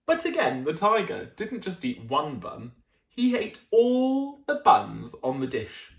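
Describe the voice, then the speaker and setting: A man's voice: on the high side, wildly swooping pitch, slow, echoey room. A man reading a children's picture book aloud as a storyteller, with dramatic emphasis and friendly asides to his listeners.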